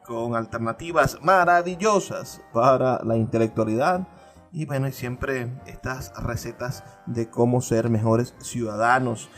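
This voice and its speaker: A middle-aged man hosting a radio show, talking in an earnest, musing way with pauses and repeated phrases. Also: mid-range voice, fairly bright tone, soft background music. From Venezuela. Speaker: radio host. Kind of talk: reflective radio monologue.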